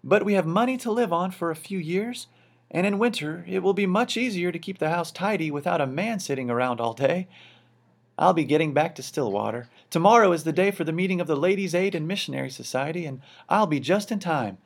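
A man reading a storybook, voicing a brisk, practical housewife in a high, fluttery voice. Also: lively intonation, creaky. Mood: fond brisk. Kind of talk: audiobook character reading.

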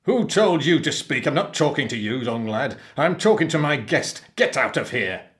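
A man's voice: medium pitch, lively intonation, moderate pace, a bit bright, close to an everyday voice. A man voicing a bullying, short-tempered pirate captain in a storybook tale, with a barking, growling manner. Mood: roaring.

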